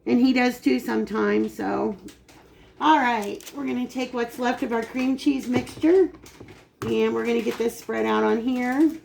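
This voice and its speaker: A woman hosts a relaxed home cooking demonstration from her kitchen. Her voice is raspy and gravelly from a dry throat, set a touch high. She chats easily as she explains each step. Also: unhurried, a little hiss or hum. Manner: chatty, explains steps while working. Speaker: cooking channel host.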